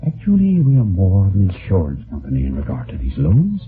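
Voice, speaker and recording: A smug company man in an old radio mystery. His deep voice moves expressively through formal, wordy explanations. The sound is narrow and tinny, like a vintage broadcast. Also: wildly swooping pitch, a little fast, soft background music. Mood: smug, explanatory. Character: formal, self-satisfied male loan company businessman. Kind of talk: old-time radio detective drama acting.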